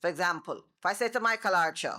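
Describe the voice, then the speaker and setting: Middle-aged Jamaican woman, lower-pitched, attorney-lecturer type; loud, animated, talks through examples. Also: quick pace. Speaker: attorney and former bar president giving a seminar. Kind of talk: seminar presentation. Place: seminar room before an audience. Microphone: microphone she moves away from.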